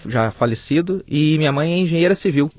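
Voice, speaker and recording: A man in his forties from Espírito Santo, Brazil, a physician telling stories in a relaxed, casual interview. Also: on the high side, brisk, muffled recording, faint music behind. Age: 40s. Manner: relaxed, storytelling, uses "cara" and "né". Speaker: physician and writer, podcast guest.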